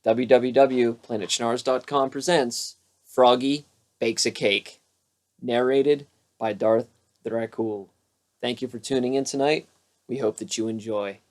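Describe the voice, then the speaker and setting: Expressive bedtime storyteller, medium pitch. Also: unhurried. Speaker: storybook narrator, host. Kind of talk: scripted bedtime story read-aloud.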